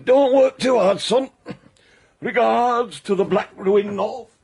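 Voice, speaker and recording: An actor playing a smug, condescending small-time crook in a comic radio drama, gravelly and mock-friendly with quiet menace. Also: male voice, high voice, slow, no room sound, heavily acted. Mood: smug, sneering. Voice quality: gravelly, husky.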